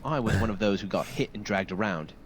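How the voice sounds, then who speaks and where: Actor as a solemn, low-voiced wounded soldier. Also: male voice, fast talker, a little hiss or hum, natural voice. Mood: grim, haunted. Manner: solemn, formal, deferential. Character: wounded, grieving male soldier recounting a massacre. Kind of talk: dramatic video game dialogue.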